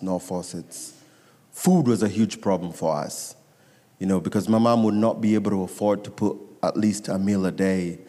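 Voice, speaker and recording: Kenyan-accented male guest speaker, lowish mellow voice, telling his story to a congregation, repeating for emphasis, big hall reverb. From Nairobi, Kenya. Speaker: guest speaker giving testimony. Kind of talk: personal testimony to a church congregation. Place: church sanctuary, amplified to a congregation. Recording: echoey large hall reverb.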